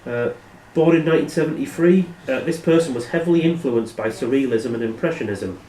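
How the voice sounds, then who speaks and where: A man hosting a quiz as quizmaster, reading questions aloud in a plain, matter-of-fact way and giving brisk rulings. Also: medium pitch, warm tone. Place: live in a pub. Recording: echoey room, distant microphone.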